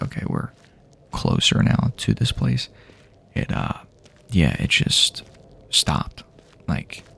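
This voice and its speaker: Low, gravelly, soft-spoken man. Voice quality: breathy, half-whispered, gravelly. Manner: halting, rambling, casual. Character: weary friendly male apocalypse survivor guiding a companion. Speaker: ASMR roleplay performer. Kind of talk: improvised ASMR roleplay, soft-spoken.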